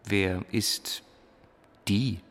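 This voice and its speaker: Gravelly, earnest male schoolteacher. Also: on the low side, steady tone, fairly bright tone, full character voice. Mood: stern, probing. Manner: earnest, deliberate, dry. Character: idealistic male teacher, earnest, principled, wryly guarded. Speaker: school teacher. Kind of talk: German radio drama acting.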